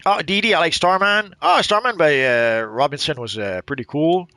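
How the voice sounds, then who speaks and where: A man's voice: a little high, expressive, normal speed, pushed and bright, dry recording, clean studio sound. A middle-aged man from Quebec with a mixed Quebec accent, chatting in a hesitant, rambling way while playing a game. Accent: Quebec French mixed. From Quebec, Canada. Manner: rambling, hesitant, many fillers and restarts. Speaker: gaming channel host. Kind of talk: casual commentary while playing.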